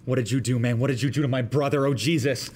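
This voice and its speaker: A faintly noisy room recording of a man playing a young skateboarder. He is urgent and agitated, with a slacker's slangy delivery and a mid-pitched voice, in a TV drama read-through. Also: fairly even intonation, fast talker, darkish tone, close to an everyday voice. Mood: alarmed, accusing. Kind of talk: acted dialogue at a table read.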